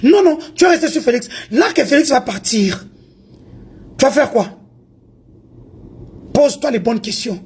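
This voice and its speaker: A woman's voice: sits high, expressive, normal speed, long pauses, a little mellow, slightly rough. A woman from Congo delivering a heated, confrontational rant, taunting and defiant as she moves between two languages. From Congo (DRC). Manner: confrontational, taunting, mixes French and Lingala.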